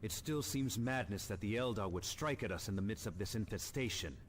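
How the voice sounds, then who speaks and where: An actor playing a cheerful, earnest younger space marine in a video game. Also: male voice, lowish voice, fairly quick, noisy background, close to an everyday voice. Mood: puzzled.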